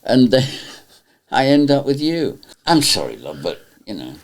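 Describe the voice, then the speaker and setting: A man's voice: medium pitch, wildly swooping pitch, unhurried. An older man reminiscing and teasing a colleague in mock-scolding fashion. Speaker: panto writer and dame.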